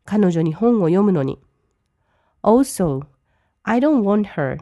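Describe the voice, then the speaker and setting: Woman, slow careful English, mellow voice, dry close sound. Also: medium pitch, lively intonation, no echo. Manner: reads English slowly, then translates briskly. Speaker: language teacher. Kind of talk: bilingual lesson commentary.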